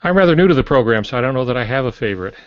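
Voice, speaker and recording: A man's voice: mid-range voice, lively intonation, racing. A man chatting casually as a panelist on a podcast. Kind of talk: casual chat. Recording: dull lo-fi audio, roomy echo.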